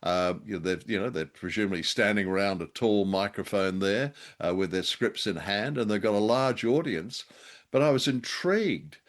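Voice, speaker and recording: Low-pitched man musing in a review chat. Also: animated, normal speed. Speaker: podcast co-host reviewing radio dramas.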